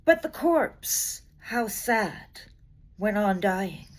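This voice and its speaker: A woman reciting poetry dramatically, with an expressive, measured delivery and deliberate pauses between phrases. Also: medium pitch. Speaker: poetry reader.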